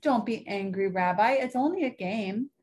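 A woman's voice: a little high, expressive, normal speed, a little mellow, pitched above the performer's own voice. A woman voicing a kindly, chatty 97-year-old grandmother in a storybook reading. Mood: soothing.

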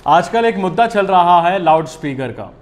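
A man's voice: sits high, lively intonation, moderate pace. A man in his thirties presenting opinionated news commentary to camera, earnest and given to rhetorical questions. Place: small indoor studio, seated at a desk. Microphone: lapel mic.

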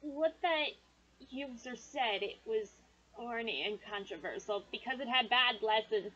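A woman's voice: a little high, fairly bright tone, dull audio. A woman hosting a video, reading scripted fan commentary. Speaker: video host.

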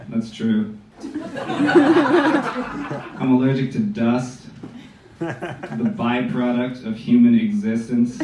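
A man's voice: mid-range voice, dark tone, muffled recording, a little hiss or hum. A man in his late twenties with a nasal American voice, doing a dry stand-up set in a small club. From United States. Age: 20s. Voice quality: nasal, stuffy voice. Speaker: stand-up comedian. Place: small comedy club, indoors, with audience. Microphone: handheld mic, recorded from the crowd. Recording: roomy audience phone recording from far off. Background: audience laughter.

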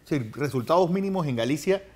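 A middle-aged man with a slightly high voice hosts a political segment on TV news. He talks conversationally, chiming in with brief additions and follow-up points. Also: animated, fast, darkish tone. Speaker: TV news host. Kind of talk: conversational interview with short interjections. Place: TV news studio.

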